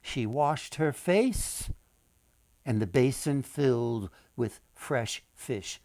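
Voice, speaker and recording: A man reading a folktale aloud as its author, in an expressive storytelling style with deliberate, dramatic pauses. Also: medium pitch, no echo.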